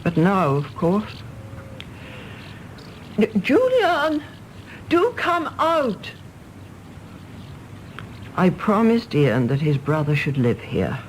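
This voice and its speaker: A woman's voice: medium pitch, wildly swooping pitch, slight background noise, full character voice, poor audio. An older woman with an English accent playing a bossy, fussing mother of a grown son in a radio drama, imperious and chiding. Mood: fretful. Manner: imperious, chiding, brisk with endearments. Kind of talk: stage-play radio drama acting.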